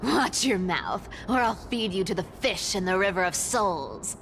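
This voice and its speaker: A woman's voice: mid-range voice, expressive, measured pace, a bit bright, slight background noise. An actress playing a cunning, flamboyant, treasure-loving boss lady in a video game, theatrical and bossy with a playful streak. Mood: testy, menacing.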